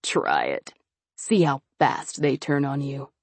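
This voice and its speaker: A woman reading an audiobook voices a smooth-talking, scheming young nobleman who coaxes and quietly threatens. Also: extremely low pitch, wildly swooping pitch, takes it slowly, no room sound, pitched below the performer's own voice, exaggerated voice. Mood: threatening. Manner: slick, coaxing, quietly threatening. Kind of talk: audiobook character voice.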